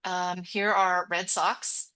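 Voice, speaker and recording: A woman's voice: lowish voice, little pitch movement, slow, pushed and bright, clean tone. A woman co-hosting a library webinar, walking through a website in a chatty, upbeat way with frequent giggles. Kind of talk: casual screen-share demo chat.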